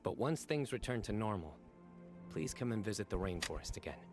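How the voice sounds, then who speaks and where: Low, composed scholar official played by an actor. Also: male voice, expressive, brisk, music in the background, lightly acted. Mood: formal, courteous. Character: composed, dutiful young male scholar official. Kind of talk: scripted video game dialogue.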